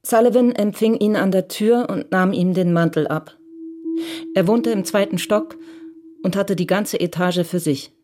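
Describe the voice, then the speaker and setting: A woman's voice: medium pitch, unhurried, over music, natural voice. A woman narrating a crime radio drama as a composed, detached storyteller. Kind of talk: scripted literary narration in a radio play.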